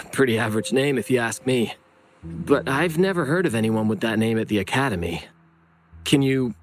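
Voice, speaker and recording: A man's voice: mid-range voice, fairly bright tone, lightly acted. A man playing an easygoing, slightly awkward young researcher in a video game, friendly and a little hesitant. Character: easygoing, optimistic young male academy researcher, a bit awkward.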